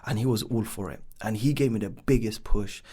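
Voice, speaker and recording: A man's voice: fairly low pitch, a little fast, dark tone, breathy edge. A man in his thirties with a London accent, raised there after being born in Bangladesh. He tells his life story on a podcast in a rambling, casual way, full of ums and "should I say". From born in Bangladesh, raised in East London. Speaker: business founder guest.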